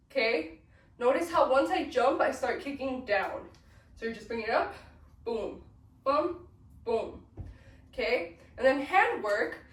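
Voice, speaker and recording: A young woman with a cold, sniffling as she casually teaches dance steps and counts the beats aloud. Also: high-pitched. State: sick, sniffly. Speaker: dance tutorial instructor. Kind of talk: casual step-by-step dance instruction. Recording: echoey room, mic at a distance.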